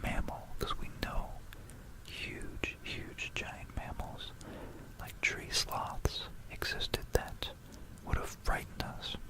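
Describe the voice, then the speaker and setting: An ASMR host speaking in a breathy, unvoiced whisper, with a gentle, rambling, hesitant bedtime delivery in an informal style. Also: slow, rough recording. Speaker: ASMR video creator and host.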